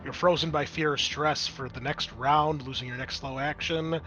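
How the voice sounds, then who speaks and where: A man's voice: a little high, fairly even intonation, normal speed, sharp tone, lo-fi sound, faint music behind. A man working as game master, narrating a tabletop roleplaying game in a casual, off-the-cuff way.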